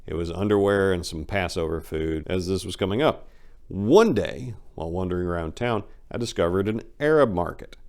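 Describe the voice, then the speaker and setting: A man's voice: deep voice, moderate pace, darkish tone. A man giving a dramatic first-person reading as a book narrator, telling stories in a brash, irreverent, slangy way.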